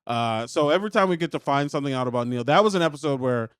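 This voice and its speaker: A podcast co-host with a smooth, clean voice at an ordinary male pitch. He talks loosely and jokes, often sinking into quieter trailing asides. The studio sound is dry and close. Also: expressive, racing, dry recording. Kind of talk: casual chat.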